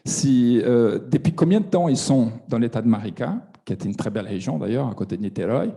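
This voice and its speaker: A man's voice: medium pitch, animated, fairly quick, gravelly, mellow. A middle-aged man from Brazil, asking a hesitant question from the audience with many restarts. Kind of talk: spontaneous question from the floor.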